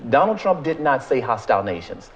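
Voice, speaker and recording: A man's voice: mid-range voice, big pitch swings, brisk, highs cut off, slight background noise. A man debating on a TV political panel, combative and pressing with rhetorical questions and repeated "so in other words.". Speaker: Democratic debate guest.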